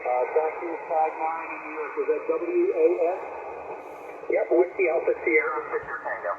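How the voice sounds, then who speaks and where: A man's slightly high voice comes through a thin radio band. Clear noise surrounds him as he trades clipped, phonetic contest exchanges like a ham operator. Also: normal speed. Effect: shortwave radio. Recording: noisy narrow-band ham radio signal.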